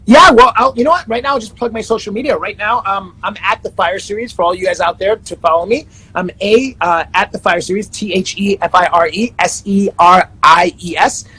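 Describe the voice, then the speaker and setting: Husky, high-pitched man in his 30s; upbeat, self-promoting interview guest. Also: brisk, hardly any pauses, sharp tone, low-quality recording. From Panama and the Netherlands. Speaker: life coach guest. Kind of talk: casual interview chat.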